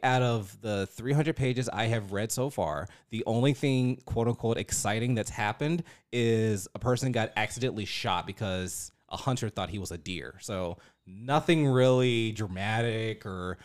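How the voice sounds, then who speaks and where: A man's voice: fairly low pitch, measured pace. A man in his thirties hosting a podcast in a playful, informal, exclamatory way, chatting casually. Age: 30s. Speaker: podcast host. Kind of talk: casual chat.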